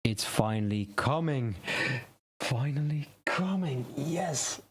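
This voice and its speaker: A man's voice: medium pitch, animated, takes it slowly, noisy background. A man whose first language is Dutch, hosting a casual live stream on web design news, rambling and correcting himself as he thinks aloud.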